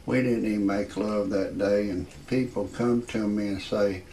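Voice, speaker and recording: A man in his sixties hosting a parody show, rambling through a comedic monologue in a halting, repetitive, grumbling way with a gravelly voice. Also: on the low side, steady tone, dark tone, slight background noise. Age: 60s. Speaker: host of a parody report show.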